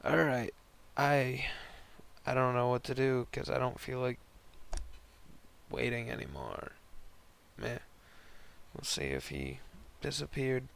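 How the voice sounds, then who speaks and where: A young man with a hoarse, worn voice giving casual, rambling, slangy commentary on an online game battle. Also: mid-range voice, expressive, slow, long pauses, a bit bright, slight background noise. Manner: rambling, slangy, laid-back. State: hoarse, voice partly lost from concert the night before.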